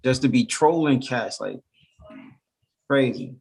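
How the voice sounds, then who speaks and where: A man's voice: mid-range voice, takes it slowly, creaky, darkish tone, breathy edge. A man, a rapper guest from Brooklyn talking loosely in a casual interview, full of fillers. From Brooklyn, New York. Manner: laid-back, loose, full of "you know".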